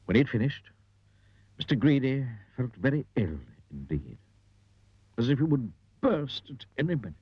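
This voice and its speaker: A man narrating an animated children's story, theatrical, with drawn-out emphasis on key words. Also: medium pitch, breathy edge, dry recording. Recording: dull lo-fi audio with music. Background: music.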